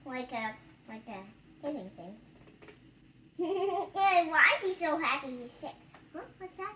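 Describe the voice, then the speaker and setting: A child reading a picture book aloud, adding chatty, giggly, excitable commentary. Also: high voice, slow pace. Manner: giggly, excitable, acts out characters' lines. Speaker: storytime reader. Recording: roomy, distant mic, background noise.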